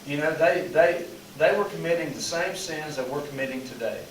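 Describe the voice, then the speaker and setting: Hoarse pastor in echoey room. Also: male voice, on the high side, normal speed, slight background noise. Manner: rambling, frequent "you know" fillers. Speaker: pastor leading a Bible study. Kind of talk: casual teaching and group discussion. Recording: echoey room, mic at a distance.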